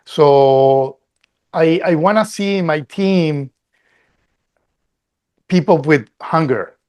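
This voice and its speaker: A man's voice: a little high, slow, darkish tone. A middle-aged man from Colombia, a company CEO answering interview questions earnestly, often restarting and repeating words.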